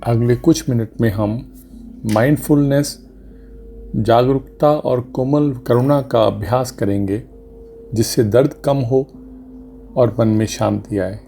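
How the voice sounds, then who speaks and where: A man narrates a guided meditation at a very slow pace, leaving long pauses between short, gentle instructions. His mid-pitched voice is mellow and relaxed, and music plays behind him. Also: gravelly. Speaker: doctor leading a guided meditation. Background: music under the voice.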